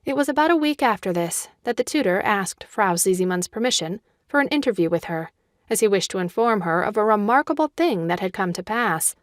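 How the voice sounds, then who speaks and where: A woman narrating a classic children's audiobook in a measured, scripted storytelling manner. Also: mid-range voice, expressive, no echo, clean studio sound.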